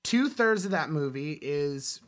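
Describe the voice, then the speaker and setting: A man's voice: on the high side, animated, measured pace, a loud crowd. A man co-hosting a podcast, chatting casually and animatedly, sometimes slipping into mimicry. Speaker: podcast co-host. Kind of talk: casual film and comics discussion.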